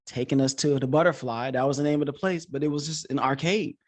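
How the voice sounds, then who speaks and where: A man's voice: mid-range voice, quick pace. A man hosting a podcast in a casual, informal chat, talking with enthusiasm like a coach. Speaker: podcast host; coach and teacher.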